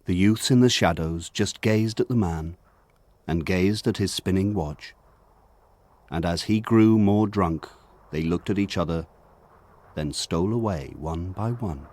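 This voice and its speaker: An actor playing a reflective narrator who recalls village childhood, telling it in measured literary narration for a radio drama. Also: male voice, low-pitched, a little mellow, no room sound. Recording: clearly noisy background.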